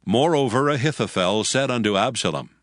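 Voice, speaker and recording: A man narrating a dramatized scripture reading in a steady, measured way. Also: medium pitch, no echo, clean studio sound. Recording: faint music bed.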